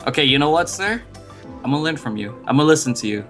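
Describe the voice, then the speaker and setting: A man's voice: medium pitch, animated, fast, a bit bright, soft background music. A man chatting casually and with playful drama as he co-plays a Minecraft roleplay game. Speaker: gamer co-playing in a roleplay video series.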